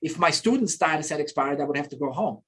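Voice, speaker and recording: A man in his fifties from Bosnia, telling his story as an interview guest in a relaxed, reflective way with frequent restarts. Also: fairly high pitch, fast talker, breathy edge. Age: 50s. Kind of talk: casual conversational storytelling.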